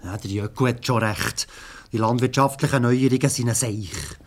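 A man's voice: mid-range voice, moderate pace, lightly acted. An actor playing a disgruntled farmer's son in his late thirties in a radio play, blunt, with a Swiss German accent. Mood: stubborn. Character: disgruntled 38-year-old farmer's son wanting city life.

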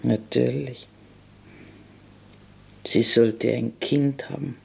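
A man's voice: medium pitch, fairly even intonation, drawn-out words, gravelly, warm tone, slightly rough, pitched above the performer's own voice, full character voice. A man voicing an ancient, bitter village innkeeper woman who speaks bluntly in a radio play. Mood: grim. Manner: blunt, curt, grim dialect-tinged speech. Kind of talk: German radio play acting.

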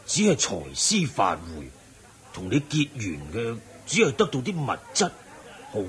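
Brief: venerable old teacher, unhurried, expressive intonation, Hong Kong Cantonese radio drama. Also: male voice, fairly low pitch. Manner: measured, didactic. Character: wise, kindly, venerable old lay Buddhist sage.

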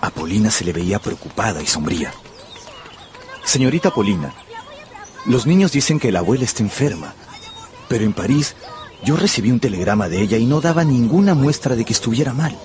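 A man's voice: mid-range voice, animated, a little fast, pauses often, darkish tone, natural voice. An actor playing an earnest, lovestruck young man, narrating and speaking in an intimate radio drama. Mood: concerned. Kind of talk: first-person radio drama narration and dialogue.

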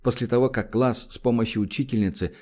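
Mellow-voiced man narrating an audiobook. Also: mid-range voice, fairly even intonation, fast. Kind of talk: first-person audiobook narration.

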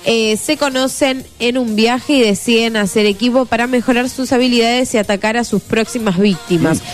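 A woman's voice: fairly high pitch, moderate pace, faint music behind. A woman co-hosting a radio show, chatting and reading entertainment news. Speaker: radio co-host. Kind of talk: casual radio chat, reading news items.

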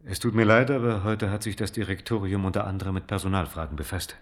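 A man playing a self-righteous, swindling official in a radio drama. His voice sits on the low side, and he talks officiously, dodging and stonewalling with cold evasiveness. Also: steady tone, fast, lightly acted. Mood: feigned regret. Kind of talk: German radio play acting.

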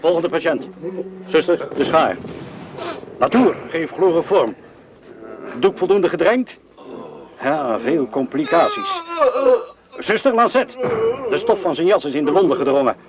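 An actor playing a brusque, commanding army surgeon who barks curt orders in a Dutch wartime radio play. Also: male voice, on the high side, big pitch swings, measured pace, long pauses, a crowd in the background. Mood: stern, pressured.

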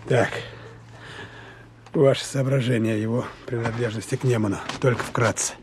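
Actor as an even-toned, commanding Soviet lieutenant colonel. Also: male voice, mid-range voice, moderate pace, noisy background, close to an everyday voice. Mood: businesslike. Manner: clipped, official, commanding. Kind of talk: Russian radio drama acting.

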